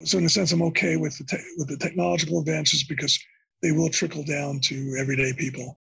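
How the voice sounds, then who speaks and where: Gravelly older man, husky and creaky. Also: a little high, steady tone, fast talker, sharp tone, rough recording. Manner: thoughtful, meandering, restarts phrases. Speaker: interview guest, psychology professor. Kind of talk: conversational podcast interview.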